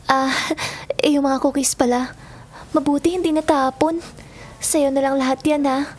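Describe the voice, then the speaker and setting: A woman's voice: high voice, steady tone, normal speed, noisy background, close to an everyday voice. A woman acting in a Filipino audio drama as a sweet, easily hurt young student, earnest and girlish, with a Filipino accent. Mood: grateful, shy.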